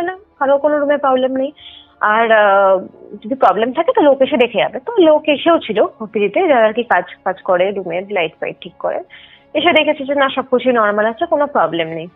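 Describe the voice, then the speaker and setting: High-voiced young woman on a phone line. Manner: explanatory, mixes in English words, often adds check-in tags. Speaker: caller telling a personal ghost experience. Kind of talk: casual conversational storytelling. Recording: tinny phone call with music underneath. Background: background music.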